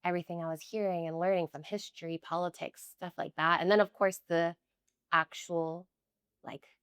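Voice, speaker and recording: Young american woman, chatty and earnest, says like a lot, lowish voice. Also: fairly even intonation, normal speed, a bit bright, clean tone, dry recording, studio quality. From United States. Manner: chatty, many "like" fillers, earnest. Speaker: guest. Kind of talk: casual conversational interview.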